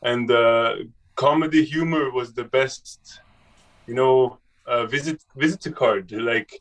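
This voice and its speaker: Casting: young man, Swiss-raised guest, casual, halting talk with restarts. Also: medium pitch, a bit bright, distant mic, poor audio. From Switzerland. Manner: halting, with many restarts and fillers. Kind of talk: casual conversation.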